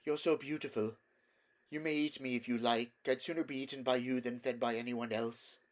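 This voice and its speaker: A man voicing a gentle, trembling female horse in a storybook, soft, timid and reverent. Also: medium pitch, steady tone, brisk, lightly acted. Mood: trembling adoration. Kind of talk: storybook character voice.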